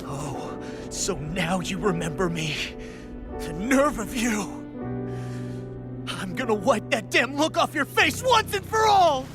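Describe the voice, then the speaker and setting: An actor playing an angry, scornful young soldier in a dramatic video game scene. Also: male voice, high-pitched, big pitch swings, slow, loud music behind the voice, heavily acted. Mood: bitter, scornful.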